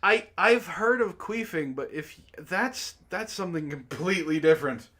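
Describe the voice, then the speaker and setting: A man's voice: high voice, lively intonation, moderate pace, fairly bright tone. A man hosting a comic book club, reading a story aloud and breaking off with laughing, exclaiming asides.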